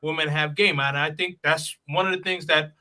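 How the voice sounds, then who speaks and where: A man with a high, bright, forceful voice talks in casual chat. He stumbles over his openings and restarts them often while he works toward his point. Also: fairly even intonation, moderate pace. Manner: hesitant, stammering restarts.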